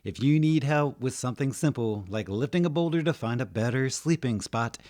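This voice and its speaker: A man's voice: medium pitch, animated, a little fast, darkish tone, dry recording, studio quality, voice pushed up, close to an everyday voice. A man voicing a tall, bulky, boastful monster hunter with a strong accent and overemphasized vowels. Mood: hearty, boastful.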